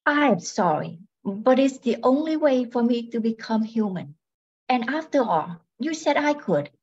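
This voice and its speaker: Older woman as a very slow robot wife, muffled. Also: on the high side, sing-song, a little mellow, slightly rough, exaggerated voice. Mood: coolly apologetic. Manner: robotic, measured, matter-of-fact. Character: tall female robot wife, polite, coldly calculating. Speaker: amateur radio drama actor. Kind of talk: amateur radio play acting. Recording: dull, muffled lo-fi sound.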